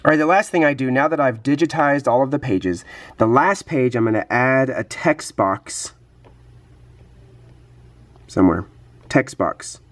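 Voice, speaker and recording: A man speaks casually as a vlogging teacher, in a medium-pitched voice that is a little hoarse and congested. His delivery is easygoing and explanatory, like someone passing on practical tips. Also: measured pace, long pauses, fairly bright tone.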